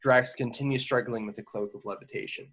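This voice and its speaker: Guy reading stage directions at an amateur table read, normal mid pitch. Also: fairly even intonation, fairly quick, a bit bright.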